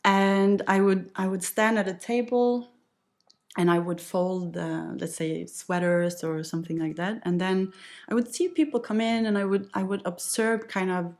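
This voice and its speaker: A woman in her late thirties from the west coast of Norway, with a Norwegian accent, speaking English natively Norwegian, telling her story as a podcast guest in a relaxed, reflective way. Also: medium pitch. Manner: reflective, easygoing, with light laughs. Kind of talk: casual conversational storytelling.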